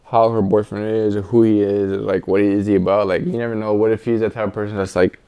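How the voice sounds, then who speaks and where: A male podcast co-host with a lowish, dark and mellow voice. His delivery is relaxed and informal, full of everyday slang, like chatting with a friend. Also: fast, smooth. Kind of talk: casual advice podcast banter.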